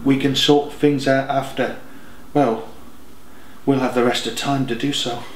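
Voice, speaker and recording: A man voicing a regretful, emotional young dead man in an audiobook, speaking haltingly and correcting himself. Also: medium pitch, steady tone, echoey room, slight background noise, close to an everyday voice, rough recording. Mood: resigned farewell. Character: regretful young dead man, tender son turning desperate.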